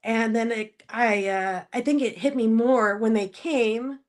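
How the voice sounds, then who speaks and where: A woman from Spokane, Washington, speaking as a podcast guest in casual interview chat, laughing often and pausing to search for words. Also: on the high side.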